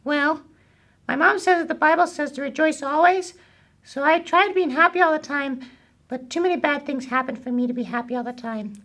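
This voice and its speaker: A woman's voice: high voice, normal speed, fairly bright tone. A woman voicing a childlike, hesitant young boy puppet in a children's puppet show. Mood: glum. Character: glum, confused young boy puppet named Bobby.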